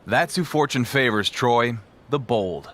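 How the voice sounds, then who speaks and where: Game wrestling champ: confident, mid-pitched, defiant promo delivery. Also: male voice, lively intonation, measured pace, fairly bright tone. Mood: dry, cocky. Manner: cocky, determined promo delivery. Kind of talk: scripted video game cutscene acting.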